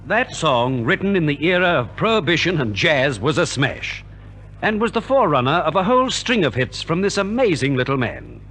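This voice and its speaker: Old fifties radio narrator guy, highish voice, very animated, scripted, bit of music behind. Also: normal speed. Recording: vintage 1950s radio transcription.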